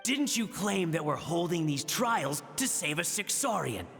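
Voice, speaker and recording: High, gravelly, affronted warrior. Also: male voice, very animated, measured pace, a bit bright, exaggerated voice. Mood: affronted, reproachful. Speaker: voice actor in a video game. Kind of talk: dramatic game dialogue. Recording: long reverb, music underneath.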